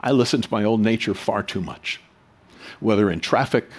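A man's voice: lowish voice, normal speed, slightly rough. A male pastor preaching a sermon in a conversational way, with emphatic swells and rhetorical questions to the congregation.

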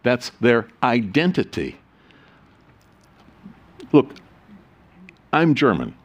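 Man, pastor, sermon, lively pitch, scripture reading, joking asides. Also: drawn-out words. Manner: animated, mixes solemn reading with joking asides.

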